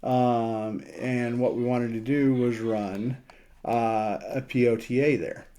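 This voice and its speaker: A man with a relaxed, mellow voice at a middling pitch presents a casual screen walkthrough. He thinks aloud as he goes, in a halting, conversational way. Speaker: amateur radio operator presenting a map demo.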